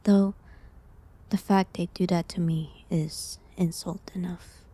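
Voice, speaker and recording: A woman playing a gentle, sorrowful village herbalist condemned as a witch, speaking in a hushed, halting way in a dramatic audio roleplay. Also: fairly low pitch, fairly even intonation. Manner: hushed, breathy, halting delivery.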